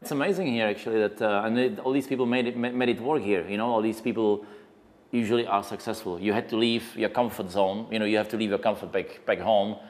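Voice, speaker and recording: A man in his thirties speaks in a lowish voice. He is thoughtful and halting, with fillers along the way. It is a documentary interview in a cafe. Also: fairly even intonation.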